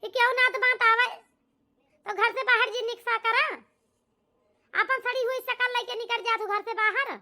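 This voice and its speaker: A woman voicing a harsh, scolding, ungrateful grown daughter in an amateur cartoon, with an Awadhi accent, a bossy manner and a pitched-up voice. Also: steady tone, normal speed, pauses often, exaggerated voice. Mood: angry, contemptuous. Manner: sharp, bossy, contemptuous. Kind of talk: amateur cartoon comedy-drama acting.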